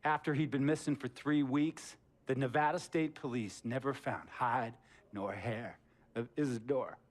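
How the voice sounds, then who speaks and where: A man's voice: medium pitch, fairly even intonation, a little slow, natural voice. A man playing a stern, lecturing team boss. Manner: lecturing, sarcastic, authoritative. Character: stern middle-aged male team executive. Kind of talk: video game cutscene acting.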